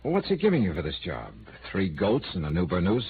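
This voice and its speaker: An actor playing a wry, hard-boiled private investigator in a radio noir, tough, wisecracking and clipped. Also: male voice, low voice, huge pitch swings, fast talker, noisy background. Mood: sly needling. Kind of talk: old-time radio noir acting and first-person narration. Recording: narrow-band vintage radio recording with music.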